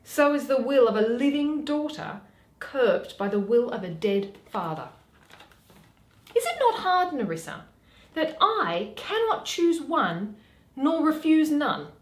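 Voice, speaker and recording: Woman acting witty fed-up young noble lady, shakespeare style, high voice, slow n dramatic, echoey room. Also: exaggerated voice. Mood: exasperated. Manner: dramatic, deliberate, expressive. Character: Portia, witty weary young noblewoman, mocking her suitors. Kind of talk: theatrical Shakespeare reading.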